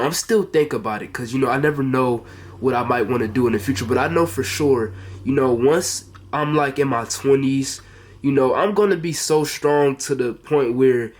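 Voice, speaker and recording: A teenage male with an American accent chatting casually to camera in an earnest, rambling and repetitive way. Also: medium pitch. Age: teenager, under 18. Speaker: fitness vlogger. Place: bedroom at home, indoors.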